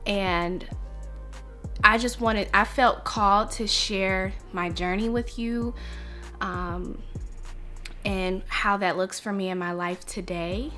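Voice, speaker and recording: A young woman speaks slowly and earnestly to her viewers, taking her time over each thought. Her voice has a bright, clear edge, and faint music plays underneath. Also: mid-range voice, fairly even intonation. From United States. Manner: earnest, reflective, slow and heartfelt. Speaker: vlogger. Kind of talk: personal vlog talk to camera.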